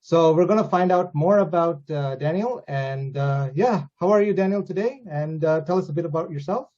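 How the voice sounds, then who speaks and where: A man's voice: on the high side, normal speed, mellow. A man hosting a conversational interview, speaking in an agreeable, slightly hesitant way on a lo-fi recording. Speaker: interviewer and host. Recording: dull, lo-fi voice recording.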